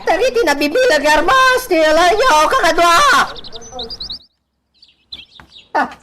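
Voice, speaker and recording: A very high, whiny female rebel with an Ilocano Filipino accent. She teases and complains in exclamations. Clear outdoor vehicle noise runs behind the voice. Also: expressive, normal speed. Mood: brisk. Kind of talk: radio drama acting. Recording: noisy outdoor and vehicle ambience.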